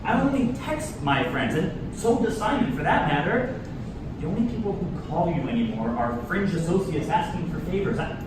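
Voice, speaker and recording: A man's voice: sits high, very animated, moderate pace. A man playing an indignant, fussy young party host in a staged play reading, arguing in exasperation. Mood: exasperated. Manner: exasperated, argumentative. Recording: echoey room from far off, loud noise.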